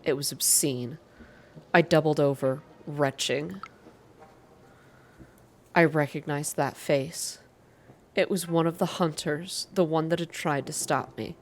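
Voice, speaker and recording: Low-pitched woman, ASMR reader, noisy background. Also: slow, long pauses. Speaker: video game reader and channel host. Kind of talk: ASMR narrated reading and casual updates to viewers.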